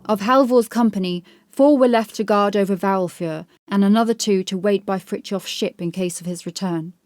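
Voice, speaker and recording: A woman's voice: mid-range voice, moderate pace, a little mellow, no room sound, clean studio sound. A woman reading scripted horror fiction as an audiobook narrator.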